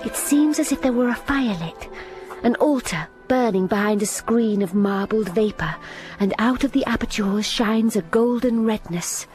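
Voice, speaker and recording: An actress playing an earnest young governess who also narrates a radio drama, soft and restrained. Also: female voice, on the high side, normal speed, soft background music, natural voice, poor audio. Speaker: narrator and heroine. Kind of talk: radio drama acting and first-person narration.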